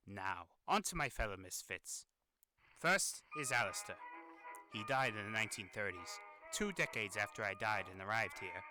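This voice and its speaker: A man's voice: fairly low pitch, lively intonation, moderate pace, bright, forceful tone, poor audio. A man voicing a weary, irritable, sardonic demon hotel resident in a fan-made animation monologue, with grumbling, exasperated delivery. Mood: dry, disdainful. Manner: grumbling, exasperated, sighing asides. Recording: voice over old phonograph music.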